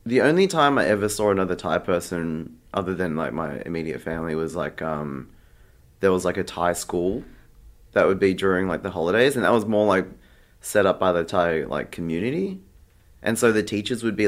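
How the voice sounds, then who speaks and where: An Australian man chatting casually as an interview guest, telling stories in a rambling, halting way full of filler "like". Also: deep voice, lively intonation. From Australia, Sydney. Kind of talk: casual anecdotal chat.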